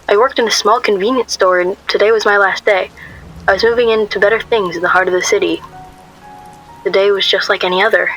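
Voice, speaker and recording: A woman's voice: mid-range voice, steady tone, a little fast, fairly bright tone, soft background music, natural voice, low-quality recording. A woman playing an anxious, guarded young shop worker, narrating a radio drama in a wry, confessional way. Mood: wry, uneasy narration.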